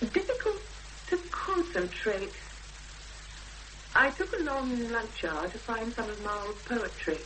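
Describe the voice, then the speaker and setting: A woman playing an anxious but determined young woman in a 1970s radio drama, speaking hesitantly with thoughtful pauses. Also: on the high side, sing-song, sharp tone, heavily acted. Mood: troubled, recounting. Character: anxious, determined young woman seeking her missing sister. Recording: noisy, hissy 1970s radio broadcast recording.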